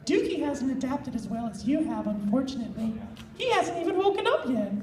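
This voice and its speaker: A woman's voice: sits high, expressive, a little fast, background noise. A woman voicing a bossy, teasing female psychic cat-like creature in a live fan fiction reading. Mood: impressed, matter-of-fact. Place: convention panel room. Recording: echoey panel room, distant mic.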